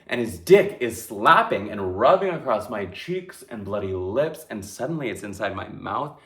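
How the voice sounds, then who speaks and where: A man playing a dazed, terrified young street hustler in a breathless, halting dramatic stage monologue. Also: on the low side, very animated, sharp tone. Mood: shaken, hushed. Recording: echoey room.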